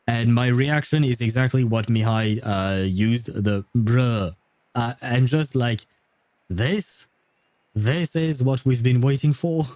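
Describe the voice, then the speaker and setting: A man's voice: medium pitch, slow pace, a little mellow, dry recording. A man casually commentating on a game stream, with a blunt, wry and often profane manner, close to the mic. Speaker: livestreamer.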